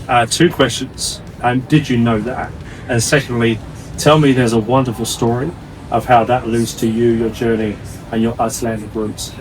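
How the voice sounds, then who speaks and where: A man hosting a conversational interview in wordy, halting phrases with repeated words. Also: mid-range voice, fairly even intonation, recorded from a distance, slight background noise, poor audio. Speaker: interviewer, host.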